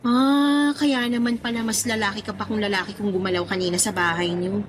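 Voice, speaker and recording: A woman's voice: medium pitch, fairly quick, slight background noise, lightly acted. A woman playing a chatty, curious young Filipina friend in a radio drama, teasing and full of exclamations. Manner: animated, reacting with exclamations and questions. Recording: music playing under the voice.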